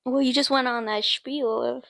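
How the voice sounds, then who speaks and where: A woman's voice: fairly high pitch, fairly even intonation, moderate pace, gravelly, fairly bright tone, no echo, studio quality. A woman co-hosting a casual podcast, laid-back and teasing in her chat.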